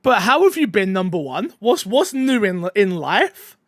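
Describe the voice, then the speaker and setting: A man with a notably high speaking voice hosts a casual gaming podcast. He talks in an excitable, joking way and laughs easily. The sound is dry and close, with no room around it. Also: unhurried, no room sound. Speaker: podcast host.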